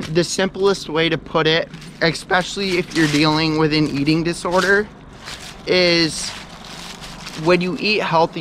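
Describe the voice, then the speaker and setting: A man's voice: a little high, bright, forceful tone, a little hiss or hum. A young man, chatting casually and earnestly to the camera as a vlogger, rambling with lots of fillers. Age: young adult. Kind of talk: casual vlog talk to camera. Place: kitchen indoors, then outdoors on railroad tracks in woods.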